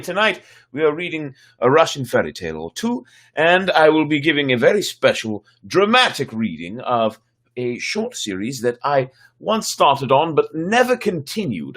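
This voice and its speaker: Playful man with very animated, swooping pitch. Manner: playful, theatrical, rambling. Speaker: host of a livestreamed story-reading show. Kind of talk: casual livestream chat to viewers.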